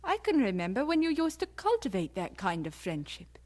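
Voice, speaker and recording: A woman's voice: fairly high pitch, normal speed. An actress playing a worried middle-aged mother, puzzled and fretful, in an audio drama. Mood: dismayed. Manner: concerned, expressive.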